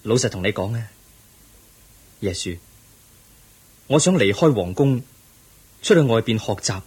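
An actor playing an earnest young crown prince in a Cantonese radio drama, speaking in a solemn, formal and measured manner. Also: male voice, lowish voice, lively intonation, darkish tone, husky, full character voice. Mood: yearning, resolute.

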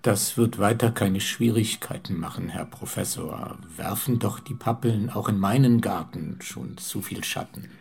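A deferential, obliging neighbor in a radio drama. His voice is low and gravelly with a heavy rasp. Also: unhurried, few pauses, darkish tone, exaggerated voice. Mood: polite. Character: polite, obliging male neighbor.

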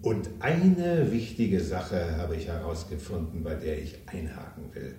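A man's voice: deep voice, lively intonation, a little slow, warm tone, far from the mic, slight background noise. An actor in a German crime radio drama playing a cynical, ambitious self-made businessman, blunt and coarse, with theatrical emphasis. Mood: scheming, determined. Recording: echoey room, off the microphone.

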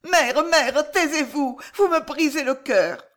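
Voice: mid-range voice, very animated, measured pace, pitched above the performer's own voice. A woman voicing a devoted young daughter in an audiobook novel, emotional and respectful. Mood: anguished.

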